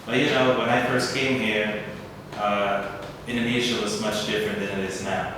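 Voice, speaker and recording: A man's voice: lowish voice, steady tone, normal speed, distant mic, noisy background. A man in a casual talk, asking rhetorical questions and leaning on "you know" a lot. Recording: echoey hall, picked up from afar.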